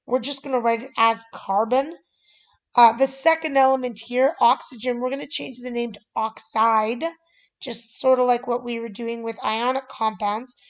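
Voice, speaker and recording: A woman talks through a chemistry lesson at an easygoing pace. Her voice sits a little high. She often pauses on small fillers. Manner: relaxed, conversational, many fillers. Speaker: teacher.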